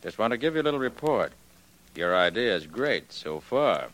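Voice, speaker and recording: A man's voice: fairly low pitch, big pitch swings, normal speed, a bit bright, low-quality recording. A man playing a cocky, needling crook with a tough, slangy manner in a 1940s radio drama. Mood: conspiratorial. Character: cocky, needling male crook posing as long-lost brother.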